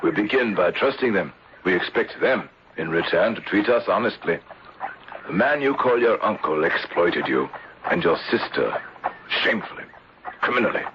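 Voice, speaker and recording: An actor playing an earnest, just English gentleman in a radio mystery, speaking in a measured, formal way. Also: low-pitched, expressive. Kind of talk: 1970s radio mystery acting with first-person narration. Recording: dull, noisy old radio broadcast.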